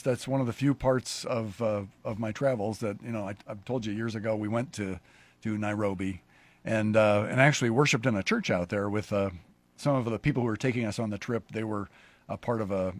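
A pastor hosting a casual conversation, a man who talks in halting, rambling sentences with many restarts. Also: on the low side, no room sound. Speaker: pastor and show host.

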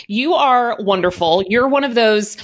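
A woman's voice: a little high, unhurried. Chatty American woman hosting a podcast. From Ohio, USA. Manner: chatty, enthusiastic, laughs easily. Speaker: podcast host. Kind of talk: casual interview chat.

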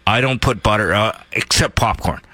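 A man's voice: fairly low pitch, moderate pace, sharp tone, no room sound. A man co-hosting a radio show in casual banter, contrary and insistent in how he talks. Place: radio studio.

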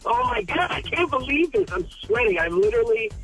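Flustered high-voiced man on the phone. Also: sing-song, fairly quick, fairly bright tone, husky, soft background music, poor audio. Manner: hesitant, defensive, flustered. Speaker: phone caller, prank victim. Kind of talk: spontaneous phone conversation.

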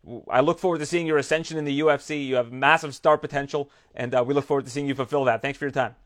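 A man's voice: medium pitch, fairly even intonation, very fast, fairly bright tone. A man interviewing fighters, upbeat and inquisitive, often restarting his questions. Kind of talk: sports interview.

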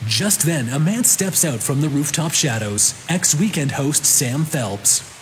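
A man's voice: a little high, lively intonation, a little slow, a little hiss or hum. A man narrating a scripted radio drama in a mock-dramatic way.

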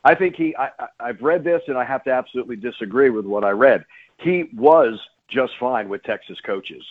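A man's voice: mid-range voice, brisk. Male sports radio guest, play-by-play type, emphatic and repetitive for stress, slightly lo-fi sound. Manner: emphatic, repeats phrases for stress. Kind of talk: animated sports radio interview talk.

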